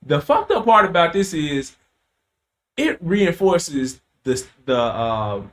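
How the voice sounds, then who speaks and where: Animated man telling a podcast story. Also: fairly high pitch, slow, slight background noise. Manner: animated storytelling, loose slang and profanity, frequent fillers. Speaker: podcast host. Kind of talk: casual podcast chat.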